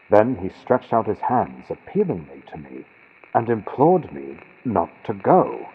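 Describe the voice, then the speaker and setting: Muffled, very deep-voiced man narrating gothic horror. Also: slightly rough. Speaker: audiobook narrator. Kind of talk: dramatic scripted narration of a gothic horror story. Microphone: built-in computer microphone. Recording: muffled vintage-radio sound from built-in computer mic.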